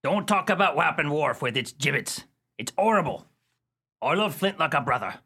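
One-eyed pirate: husky, sharp, bloodthirsty but sentimental, broad theatrical dialect for radio comedy. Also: male voice, a little high, animated, moderate pace, exaggerated voice. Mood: maudlin. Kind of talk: comic radio play acting.

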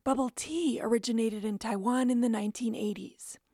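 Woman reporter narrating a radio feature, higher voice. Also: fairly even intonation, dark tone, no room sound, studio quality. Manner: measured, descriptive storytelling read.

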